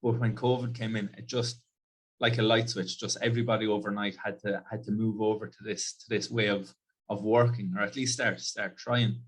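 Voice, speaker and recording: A man's voice: fairly low pitch, level tone, normal speed. A man with an Irish accent, speaking as a company chief in a casual interview, often repeating words as he goes. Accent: Irish Midlands. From Ireland. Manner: frequent word repeats, casual phrasing. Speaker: company CEO guest. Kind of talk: relaxed interview chat. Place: video call.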